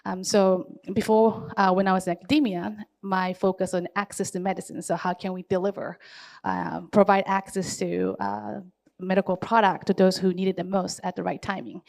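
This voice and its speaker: A woman in her forties who grew up in East Africa, introducing herself on a panel at an even pace, with thoughtful pauses and fillers. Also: mid-range voice, gravelly. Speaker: panelist, startup founder.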